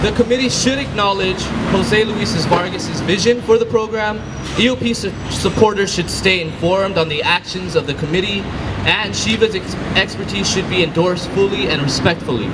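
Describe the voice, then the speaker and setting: High-pitched man reading a formal public address. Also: very noisy. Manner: formal, earnest advocacy. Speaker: public speaker for an alumni and student group. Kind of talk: prepared public address read aloud.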